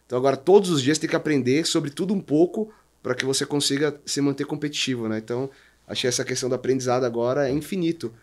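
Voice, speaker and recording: A man's voice: mid-range voice, quick pace. A Brazilian man as a podcast guest, discussing business casually and informally, repeating himself for emphasis.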